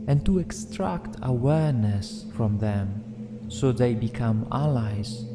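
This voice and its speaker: A man narrating a guided meditation in a gentle, soothing, deliberate way, leaving long pauses between phrases. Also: medium pitch. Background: meditation music with singing bowls.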